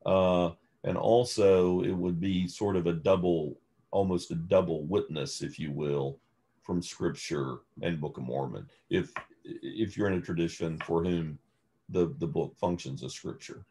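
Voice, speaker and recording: Deep-voiced, slow-speaking man. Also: dark tone. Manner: measured, deliberate, scholarly. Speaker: guest, theologian and author. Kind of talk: thoughtful interview conversation.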